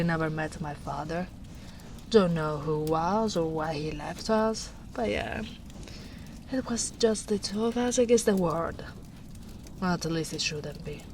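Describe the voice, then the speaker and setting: A woman voicing a gentle, protective big female ogre in a tender, soft-spoken ASMR roleplay, often slipping into whispers. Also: fairly low pitch, measured pace, slight background noise. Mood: somber.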